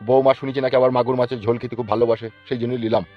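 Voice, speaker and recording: Slightly muffled audio with soft music carries a man playing a folksy older village uncle in a comedy cartoon. He is inquisitive and familiar, talking quickly. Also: medium pitch, level tone, natural voice. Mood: chatty.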